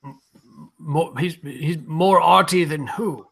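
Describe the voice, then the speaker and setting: A man playing a flustered, dim-witted lord in comic old-time radio style, raspy, fussy and bumbling. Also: a little high, takes it slowly, a bit bright, full character voice. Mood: baffled. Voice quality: raspy, creaky, strained. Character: flustered, dim-witted, posh English lord, Holmes's client.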